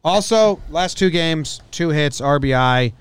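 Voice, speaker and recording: A man's voice: on the high side, animated, a little slow, smooth, no echo. A man hosting a sports podcast in a casual style, venting bluntly and swearing freely.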